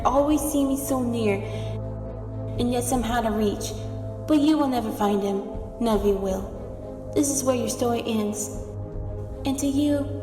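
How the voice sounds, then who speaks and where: A woman's voice: a little high, close to an everyday voice. A woman voicing a solemn grieving mother spirit. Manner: slow, solemn monologue. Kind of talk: game cutscene monologue. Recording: echoey hall reverb with music.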